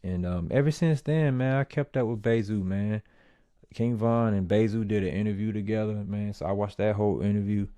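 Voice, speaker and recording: Male podcast host, dark mellow tone, dry close sound, relaxed rambling delivery. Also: lowish voice, normal speed, no echo. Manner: laid-back, filler-heavy street talk. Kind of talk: casual commentary.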